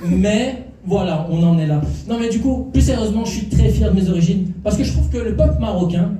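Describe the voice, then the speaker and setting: A man's voice: high-pitched, lively intonation, a little fast, dark tone. A man doing a stand-up routine on a club stage, teasing the crowd and working the audience. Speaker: stand-up comedian. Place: comedy club stage before a live audience. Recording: echoey room, from far off.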